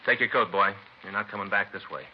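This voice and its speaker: Fast-talking, low-voiced stern police detective played by a man. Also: natural voice. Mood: stern, indignant. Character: stern police detective, indignant, world-weary family man. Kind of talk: 1950s radio crime drama acting. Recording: dull, noisy vintage radio recording.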